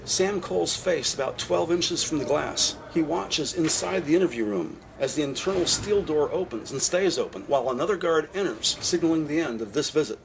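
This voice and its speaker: A man's voice: fairly high pitch, lively intonation, normal speed, creaky, hardly any pauses, noisy background. A man narrating a scripted audio drama in the present tense.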